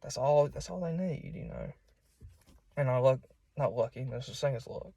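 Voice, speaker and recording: A man's voice: medium pitch, wildly swooping pitch, normal speed, creaky, mellow, slightly rough, dry recording. A young man with a Southern US accent, preaching in an informal, folksy and conversational way. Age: young adult. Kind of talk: informal sermon. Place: solo self-recording.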